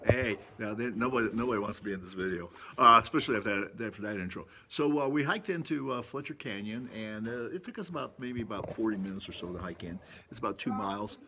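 A man's voice: lowish voice, animated, fast, bright, forceful tone, a little hiss or hum. An older man hosting a casual vlog, rambling in a folksy, self-amused way while filming himself on a phone outdoors in a shaded rocky canyon. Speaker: vlog host. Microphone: phone camera at arm's length.

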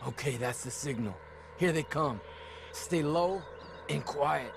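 A man's voice: fairly high pitch, slow pace, gravelly, background noise. A young man playing an earnest, urgent rebel fighter in a video game cutscene. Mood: hushed. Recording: game audio with very loud score.

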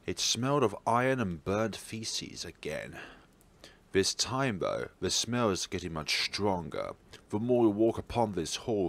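Low-voiced man, very animated delivery. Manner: theatrical, wry asides. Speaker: let's play host. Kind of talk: playful gaming commentary and expressive read-aloud of a visual novel.

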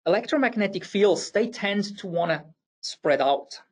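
A man's voice: high-pitched, expressive. A man with a Swiss German accent presenting a technical engineering webinar, explaining step by step with occasional fillers. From Switzerland. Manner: explanatory, slightly halting with fillers and restarts.

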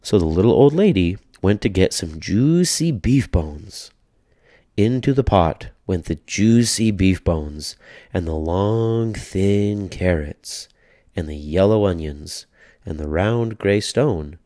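Male storybook narrator, low pitch, mellow tone, deliberate, rhythmic, expressive. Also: dry recording, studio quality. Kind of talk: children's read-aloud, scripted narration.

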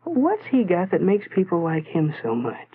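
An actor playing a lonely, self-doubting teenage schoolboy, musing to himself in a brooding way in a 1940s educational film style, on a tinny, noisy soundtrack. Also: male voice, high voice, wildly swooping pitch, normal speed, slightly rough, exaggerated voice. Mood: pondering. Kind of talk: 1940s educational film inner-monologue narration.

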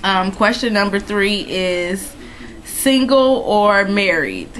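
A woman's voice: mid-range voice, expressive, takes it slowly, a bit bright, a little hiss or hum, rough recording. A thirty-year-old woman chatting casually through a nail tag video from her living room, with plenty of ums. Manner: chatty, laughs often, many ums. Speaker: nail vlogger. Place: living room at home, indoors. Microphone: webcam in front of her.

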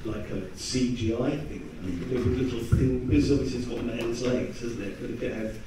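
A man's voice: mid-range voice, steady tone, moderate pace. A man talking casually and hesitantly on a convention panel, rambling a little as he goes. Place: stage in a hall, recorded from audience camera. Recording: echoey hall from far off, noisy.

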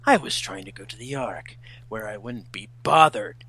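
A man voicing a brooding, peevish hedgehog rival in a fan-fiction reading, husky and breathy, with curt, condescending delivery. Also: on the low side, expressive, moderate pace, sharp tone, full character voice. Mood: cool, curt.

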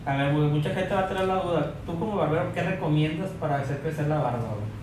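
A man's voice: on the high side, quick pace. A young man with a Sinaloa Mexican accent, hosting a casual podcast chat and dropping slang often, recorded by a far camera. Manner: slangy, says güey a lot. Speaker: podcast host. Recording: echoey room, mic far off, background hiss.

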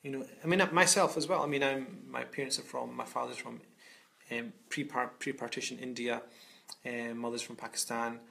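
A man's voice: medium pitch, steady tone, normal speed, vocal fry, pushed and bright. A man, an Islamic scholar speaking earnestly from home over a video call, often repeating words as he restarts. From Scotland. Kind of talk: reflective talk on a video call. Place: home room indoors. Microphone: webcam on a video call.

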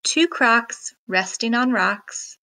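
A woman reading a children's picture book aloud in a deliberate, expressive storytelling manner. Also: fairly high pitch. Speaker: read-aloud narrator.

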